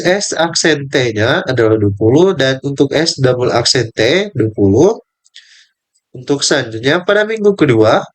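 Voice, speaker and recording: A young male student presenting his part of a group lesson, reading out steps and table values with small slips and restarts. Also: medium pitch. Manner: reads numbers in steady lists, with small slips and restarts.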